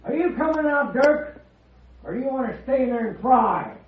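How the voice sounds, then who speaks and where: High-voiced Western lynch-mob boss. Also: male voice, animated, moderate pace. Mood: taunting. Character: hard, taunting Western posse leader set on a hanging. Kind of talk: old-time radio Western acting. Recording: noisy, narrow-band vintage radio recording.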